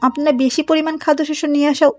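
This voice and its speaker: A woman's voice: high-pitched, little pitch movement, fast talker, soft background music, pitched above the performer's own voice, close to an everyday voice. A woman voicing a clever, bold young daughter-in-law in a cartoon folk tale, insistent and practical.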